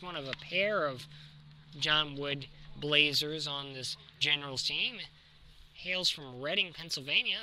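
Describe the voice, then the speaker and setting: A man's voice: fairly high pitch, bright, forceful tone, poor audio. A man calling baseball play-by-play live, over faint ambient noise. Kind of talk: live sports commentary.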